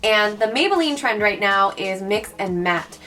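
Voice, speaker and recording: A young woman with a raspy voice from recent illness, chatting bubbly through a makeup tutorial and calling her viewers guys. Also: medium pitch, animated, normal speed. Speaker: beauty vlogger. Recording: mic at a distance, soft background music.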